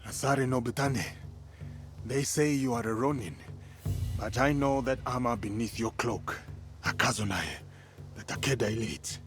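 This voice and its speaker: An actor playing an earnest, respectful warrior in samurai training in a video game, speaking in a formal, composed way. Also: male voice, medium pitch, normal speed, pauses often, faint music behind, close to an everyday voice. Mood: grave, determined. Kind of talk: dramatic video game acting.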